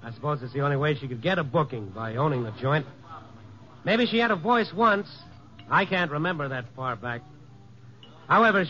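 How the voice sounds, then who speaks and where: An actor playing a wry, world-weary newspaper reporter in a 1950s radio noir style, with wisecracking delivery. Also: male voice, a little high, sharp tone. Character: wry, hard-boiled night-beat newspaper reporter. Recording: muffled vintage 1950s radio recording.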